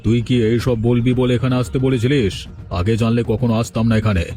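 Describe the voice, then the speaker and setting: A man's voice: mid-range voice, fairly even intonation, a little fast, warm tone, close to an everyday voice. An actor playing a middle-aged, patronising village moneylender and father in a cartoon story. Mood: indignant. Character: two-faced village moneylender father, stingy, patronising criminal. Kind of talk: Bengali cartoon story dialogue.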